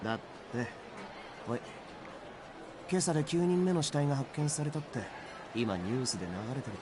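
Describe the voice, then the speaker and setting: A man playing a flippant high school boy in a Japanese visual novel, with a casual, slangy way of talking. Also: big pitch swings, rapid-fire, a crowd in the background, noisy background, close to an everyday voice. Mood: urgent. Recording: game audio under loud background music. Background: music.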